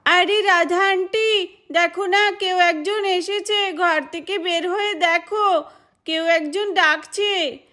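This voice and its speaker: A woman's voice: very high-pitched, fairly even intonation, slow, sharp tone, voice pushed up, exaggerated voice. A woman voicing an eager, chatty little village girl doll with a childlike manner in a story. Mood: calling out.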